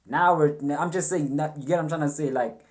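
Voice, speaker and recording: A man's voice: a little high, fairly quick. A man hosting a reaction video, commenting casually in loose, slangy, profane talk and thinking aloud.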